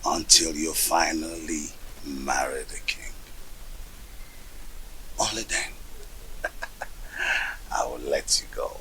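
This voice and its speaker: A man voices a sly schemer with a very deep, gravelly voice. He keeps to a slow, hushed whisper. The tone is bright and cutting despite the low pitch. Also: steady tone, slight background noise, full character voice, rough recording. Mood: scheming. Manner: conspiratorial, sly laughter. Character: scheming man plotting in secret.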